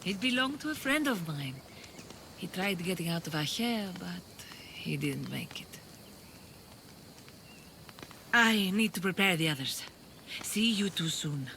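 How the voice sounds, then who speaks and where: A woman's voice: lowish voice, animated, unhurried, gravelly, bright, forceful tone, a little hiss or hum, exaggerated voice. An actress playing a caring, regretful, seasoned rebel woman in a video game. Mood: purposeful. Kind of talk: video game cutscene acting.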